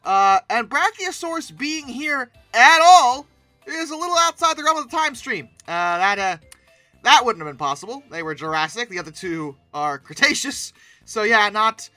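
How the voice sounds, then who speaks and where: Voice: medium pitch, expressive, moderate pace, pushed and bright, no echo, faint music behind. A commentator talking casually off the cuff about pictures, with a theatrical, mock-dramatic way of emphasizing things. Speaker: host of a paleoart commentary channel.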